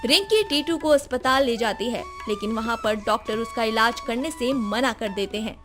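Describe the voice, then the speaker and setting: A woman narrating a scripted animated moral story. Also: a little high, normal speed. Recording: music behind the voice.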